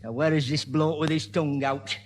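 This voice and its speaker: A man's voice: fairly high pitch, fairly even intonation, a little fast, big-room sound, lightly acted. A man playing a flustered, easily riled pub landlord with a northern English accent in a radio sitcom. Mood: harried. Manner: flustered, blustering. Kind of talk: old-time radio sitcom acting.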